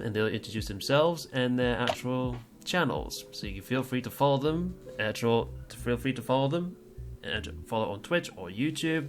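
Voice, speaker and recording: A man hosting a casual livestream podcast, rambling and teasing as he introduces his friends. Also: medium pitch. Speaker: podcast host.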